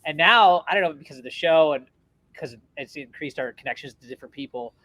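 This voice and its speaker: A man's voice: on the high side, fairly quick, a bit bright, dry recording, poor audio. A man co-hosting a casual interview, enthusiastic and full of fillers. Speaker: co-host.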